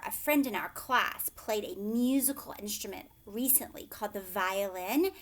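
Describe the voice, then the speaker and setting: A woman teacher reading a storybook aloud expressively and explaining it to young listeners as she goes. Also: medium pitch.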